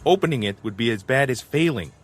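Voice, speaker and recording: A man's voice: mid-range voice, lively intonation, fast talker, close to an everyday voice. A man acting as a hapless, hard-drinking small-time crook in an audio drama, nervous, wheedling and self-pitying. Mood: nervous, evasive.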